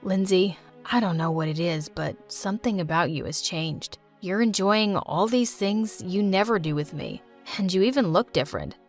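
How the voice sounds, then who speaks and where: A woman voicing a gentle, caring young man in a narrated story, speaking softly and tenderly. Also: lowish voice, animated, moderate pace. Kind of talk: scripted storytelling dialogue. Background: faint music.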